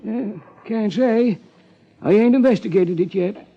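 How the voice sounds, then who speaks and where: A man's voice: high voice, measured pace, gravelly, full character voice. An actor playing a folksy, gossipy rural American lawman with a homespun drawl in a 1950s radio drama. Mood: sly, gossipy. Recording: muffled 1950s radio recording.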